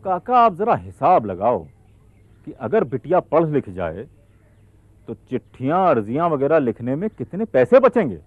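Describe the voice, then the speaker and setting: A man playing an earnest, patient young village outreach worker who gently persuades through questions and everyday examples. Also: mid-range voice, huge pitch swings, moderate pace, no echo. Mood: friendly, persuasive. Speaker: actor in an educational training film. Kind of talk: acted film dialogue. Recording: muffled, lo-fi film soundtrack.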